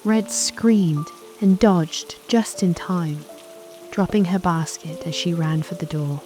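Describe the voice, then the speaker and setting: A woman narrating a children's bedtime fairy tale in a gentle scripted storytelling style. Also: on the low side, takes it slowly. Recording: soft lullaby music and rain behind.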